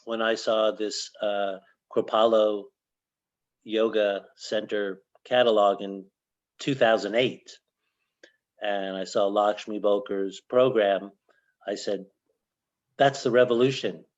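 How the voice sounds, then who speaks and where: Casting: senior man as interview host, lowish voice, measured and halting, slightly muffled call audio. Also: steady tone. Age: older. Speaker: host, yoga organization director. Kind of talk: conversational interview.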